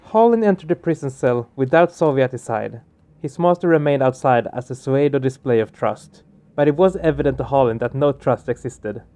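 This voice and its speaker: A man's voice: medium pitch, lively intonation, fairly quick, warm tone. A man hosting a let's play, reading game narration aloud and adding casual commentary. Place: small home room, indoors. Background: game music.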